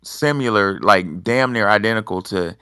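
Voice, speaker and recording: A man hosting an online discussion, giving informal commentary and reading quotes in a halting, deliberate way. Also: on the low side, sharp tone, clean tone, no echo, clean studio sound. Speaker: host of an online audio discussion. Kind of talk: informal commentary with read quotations.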